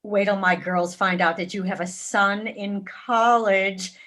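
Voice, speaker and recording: A woman's voice: medium pitch, fairly even intonation, measured pace, a bit bright, lightly acted. A woman playing an effusive, chatty suburban housewife at a tea party in a staged play reading.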